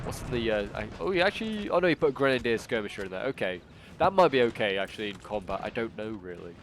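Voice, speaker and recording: A man's voice: fairly low pitch, very animated, fast talker, a little hiss or hum. A man with a stuffy, bunged-up voice from a cold, chatting casually through a strategy game battle and thinking aloud with many fillers. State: congested with a cold. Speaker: game commentator. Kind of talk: casual gameplay commentary. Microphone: new desktop microphone. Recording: game battle sounds behind. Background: gunfire and artillery from the game.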